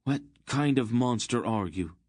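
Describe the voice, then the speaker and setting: A man voicing an angry young man, hot-headed and defiant, shouting in a strained voice pushed far above his own. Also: medium pitch, sing-song, fairly quick, breathy edge, dry recording, clean studio sound, voice pushed up. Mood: enraged.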